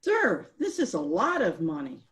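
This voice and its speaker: A woman playing a polite girl in the street in a story reading, with a husky voice. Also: on the low side, wildly swooping pitch, measured pace, full character voice. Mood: polite, cheerful.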